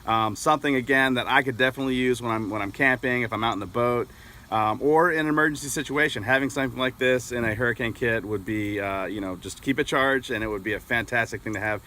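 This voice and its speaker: A man's voice: medium pitch, fast talker, pushed and bright, slight background noise. A middle-aged American man talking casually and a little hesitantly as he explains a product outdoors. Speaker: product reviewer. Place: outdoors in an open grassy yard with trees.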